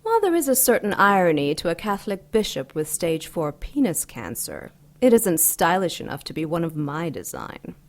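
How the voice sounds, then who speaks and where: A woman playing an ancient, seductive and coolly menacing demon goddess in an audio drama, silky, deliberate and mocking. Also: on the low side, sing-song. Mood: sly, menacing.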